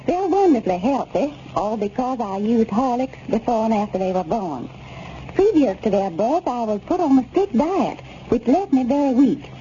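Very mellow, animated woman reading a testimonial. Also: on the high side, normal speed. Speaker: letter reader. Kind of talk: scripted testimonial reading in 1930s radio ad. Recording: muffled, noisy 1930s radio recording.

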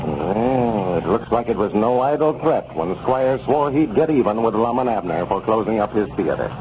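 A man's voice: lowish voice, lively intonation, moderate pace. A man announcing on 1930s radio, formal and declaiming, reading ad copy. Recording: dull 1930s radio broadcast with hiss.

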